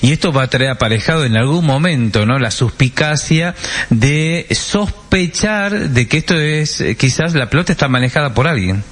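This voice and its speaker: A man's voice: mid-range voice, expressive, normal speed, a bit bright. A man interviews in a conversational way, musing aloud and adding tag questions.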